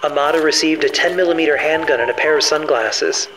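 A man's voice: a little high, fast talker, over music, close to an everyday voice, low-quality recording. A man playing a put-upon young survivor narrating his journal in a scripted audio drama. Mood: wistful, wry.

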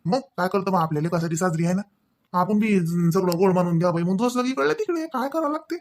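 Cartoon village elder , played by a man: fair-minded father-in-law, fairly high voice, Varhadi Marathi accent, admonishing with questioning tags. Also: fast, darkish tone. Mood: chiding. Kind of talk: Marathi comedy cartoon dialogue.

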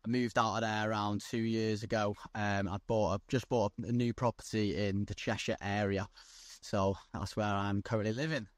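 A man's voice: on the low side, flat delivery, normal speed, dry recording, clean studio sound. A young man from North Wales, a guest on a video call from outdoors, talking bluntly and chattily about his trade. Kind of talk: casual video-call interview. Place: outdoors on a lawn by the coast.

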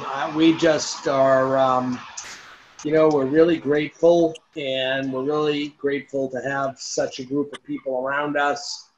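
A middle-aged man with a slightly raised pitch speaks earnestly over a video call, stumbling and restarting his sentences. The sound is dull and lo-fi, as from a laptop mic. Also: slight background noise. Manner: halting, repeats himself, earnest. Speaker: bourbon brand co-owner. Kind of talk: casual video-call interview.

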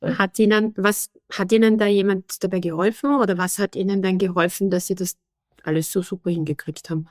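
A woman's voice: mid-range voice, fairly even intonation, fairly quick, darkish tone, dry recording, studio quality. Woman host asking winding questions. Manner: asks long, winding questions with restarts. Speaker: podcast host. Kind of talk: podcast interview.

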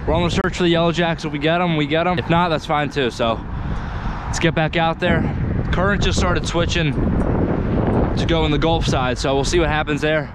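A young man filming his own fishing trip on a bridge outdoors, talking casually and getting excited, calling everyone "dude". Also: fairly high pitch, moderate pace, heavy background noise. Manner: excitable, says "dude" constantly. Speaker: fishing vlogger. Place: outdoors on a bridge over water. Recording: loud music behind the voice.

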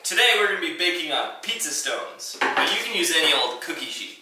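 A man's voice: a little high, sing-song, fairly quick, distant mic, background noise. A young man co-hosting a playful home cooking tutorial, joking around while giving instructions. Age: young adult. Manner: jokey, teasing, instructional. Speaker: cooking show co-host. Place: small home kitchen, indoors. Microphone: camera mic from a distance. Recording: echoey kitchen from off-mic.

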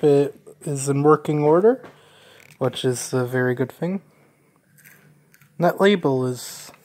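Low-voiced woman, very slow, casual unboxing narration. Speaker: unboxing video maker.

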